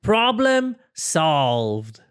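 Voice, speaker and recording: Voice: mid-range voice, big pitch swings, very slow, clean tone. A storybook reader voicing a cheerful, silly, overconfident male porcupine with a breezy, theatrical manner. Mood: confident, upbeat. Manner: breezy, theatrical, self-assured delivery.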